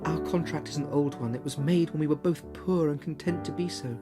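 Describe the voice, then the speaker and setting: Audiobook role: narrator voicing a gentle, firm young woman, slightly raised pitch, soft delivery. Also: a little fast, over loud music, lightly acted. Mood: sad, resolute. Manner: soft, steady, earnest.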